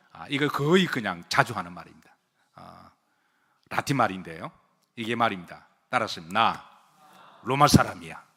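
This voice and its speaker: Male pastor, lowish voice, sermon in a church sanctuary, long reverb, asks the congregation questions. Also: sharp tone. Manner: asks the congregation questions and has them repeat phrases; jokes lightly with the congregation.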